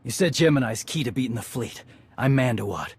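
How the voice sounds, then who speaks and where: An actor playing a proud, honorable male warrior in a video game, gruff and husky. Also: medium pitch, animated, a little fast, exaggerated voice. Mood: resolute.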